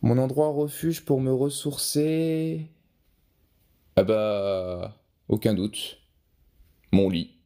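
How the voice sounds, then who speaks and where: A man's voice: medium pitch, very slow, pauses often, mellow. A young man answering interview questions in a casual, hesitant way, with frequent restarts and fillers. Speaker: podcast guest, photographer.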